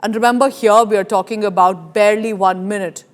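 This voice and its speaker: A woman news anchor with an Indian accent and a mid-pitched voice. She presents live news emphatically, setting out each point in an explanatory way. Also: fairly even intonation, a little fast.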